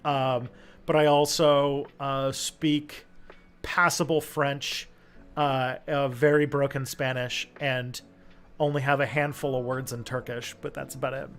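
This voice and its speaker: A man from Canada hosting a casual livestream chat, talking loosely with lots of fillers and pauses. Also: medium pitch, level tone, fairly bright tone, faint music behind. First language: English. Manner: chatty, hesitant, many fillers. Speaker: host.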